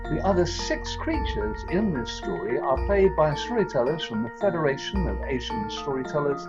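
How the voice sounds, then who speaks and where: A man's voice: on the high side, big pitch swings, moderate pace, muffled recording, loud music behind the voice. A man, a storyteller introducing and narrating a folk tale. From Singapore.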